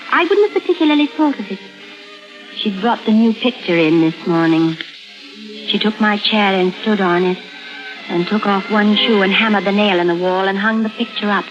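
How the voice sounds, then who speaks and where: A woman's voice: mid-range voice, close to an everyday voice. An actress playing a fragile, guarded, haunted woman in a 1950s suspense radio drama, telling her story with dreamy drama. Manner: dramatic first-person storytelling, shifting from wistful to insistent. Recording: dull vintage radio recording with music.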